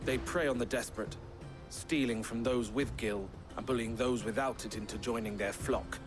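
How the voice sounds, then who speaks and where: A man's voice: mid-range voice, fairly even intonation, moderate pace, over music, close to an everyday voice. An actor playing a self-assured, protective and plain-spoken swordsman in a video game, assertive and articulate. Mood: grave, earnest.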